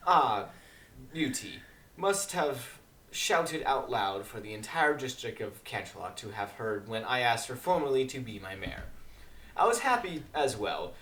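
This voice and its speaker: A courteous, reserved gentleman stallion, played by a man, slightly formal in his wording. His intonation rises and falls freely in a fan storybook reading. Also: medium pitch, very animated, measured pace. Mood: fond. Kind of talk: amateur dramatic fan fiction reading.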